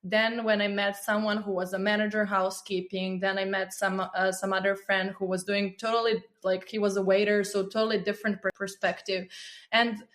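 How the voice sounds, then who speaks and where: Woman with a Serbian accent, halting. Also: medium pitch, level tone, a bit bright. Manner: searching for words, halting, friendly. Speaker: guest, illustrator. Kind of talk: podcast interview.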